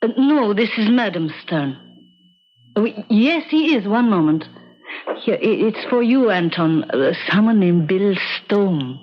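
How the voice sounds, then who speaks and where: A woman's voice: medium pitch, sing-song, measured pace. An actress playing a motherly, steadfast woman who has survived great suffering, solemn and consoling in old-time radio drama style. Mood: businesslike. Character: motherly, steadfast woman, concentration-camp survivor, former musician. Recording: muffled, noisy old radio broadcast.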